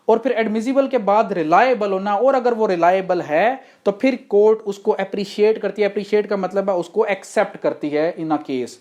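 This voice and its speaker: A woman's voice: medium pitch, a little mellow. A woman with a Pakistani accent giving an explanatory law lecture, methodically defining terms step by step and posing rhetorical questions. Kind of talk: explanatory lecture mixing Hindi-Urdu and English.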